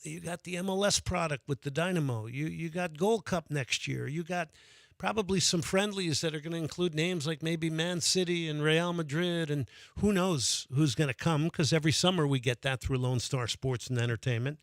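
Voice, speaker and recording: A man's voice: fairly high pitch, moderate pace, no echo. A man hosting a radio sports call-in show, talking with enthusiasm and taking callers. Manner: enthusiastic, reads out phone number repeatedly.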